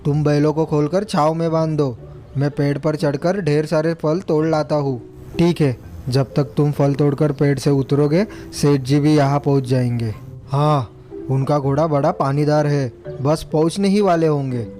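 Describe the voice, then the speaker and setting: A man's voice: fairly high pitch, fairly even intonation, normal speed, mellow, faint music behind, natural voice. A man playing a naive, respectful cart driver in a moral story, speaking earnestly and deferentially. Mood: admiring, tempted. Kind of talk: acted moral story dialogue.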